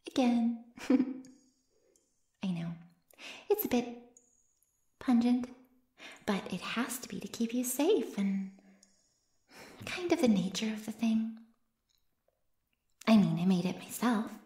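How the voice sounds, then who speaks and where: A woman's voice: a little high, expressive, slow, long pauses, warm tone. A woman playing an ancient, smugly teasing female vampire in an intimate ASMR roleplay, murmuring coyly and often slipping into a whisper. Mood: playful. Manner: coy, breathy murmurs that often drop to a whisper. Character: ancient predatory female vampire, playfully menacing, smugly teasing her captive. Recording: roomy cave-like echo.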